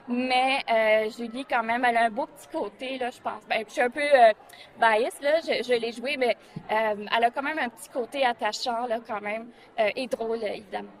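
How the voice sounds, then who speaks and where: Female actor, fairly high voice, Canadian French accent, halting informal interview answers sprinkled with English. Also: steady tone. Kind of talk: casual interview.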